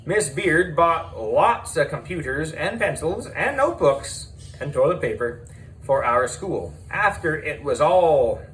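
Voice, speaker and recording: A man reads a children's story as its schoolboy narrator, with a fairly high, very lively voice. He takes his time with dramatic pauses, and faint noise sits behind him. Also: rough recording. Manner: animated, deliberate, dramatic pauses. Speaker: audiobook narrator.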